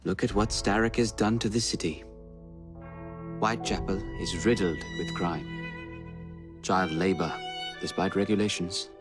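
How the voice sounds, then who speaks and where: An actor playing a courteous, earnest male assassin in a Victorian video game, with a formal, measured way of talking. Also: low-pitched, fairly even intonation. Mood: grave. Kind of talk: dramatic video game acting. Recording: music behind the voice.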